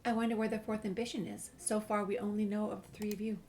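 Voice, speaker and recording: A woman's voice: mid-range voice, little pitch movement, quick pace, darkish tone. A woman playing through a video game, casually reading dialogue aloud and reacting. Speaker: gamer playing a walkthrough. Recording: noisy, ambient background sound.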